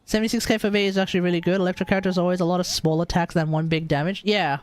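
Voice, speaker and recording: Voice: mid-range voice, fairly even intonation, racing. A streamer with an Australian accent giving casual, rambling, jokey live commentary on a game. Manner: rambling, jokey, easily amused.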